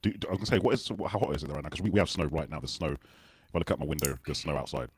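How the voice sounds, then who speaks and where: A man's voice: extremely low pitch, lively intonation, very fast, noisy background. A man from the UK hosting a casual video call livestream, jokey and self-deprecating. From United Kingdom. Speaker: host.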